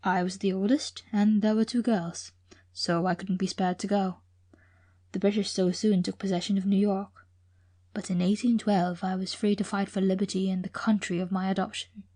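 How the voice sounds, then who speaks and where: A woman voicing a frail, very old gentleman with a soft, trained voice and a slight foreign accent, speaking slowly and wearily. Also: mid-range voice, flat delivery, natural voice. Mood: weary. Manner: soft, slow, weary.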